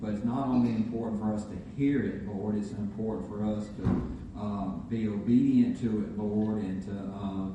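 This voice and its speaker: A pastor leading a spoken prayer, repeating "Lord" between phrases and pausing on fillers. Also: male voice, on the low side, fairly even intonation, lo-fi sound. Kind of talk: spoken prayer in a church service. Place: church sanctuary. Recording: very echoey hall, distant mic, background noise.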